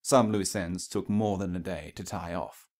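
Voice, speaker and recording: Guy narrating a fan fiction story, voice on the low side, reading from a script. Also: normal speed, a little mellow.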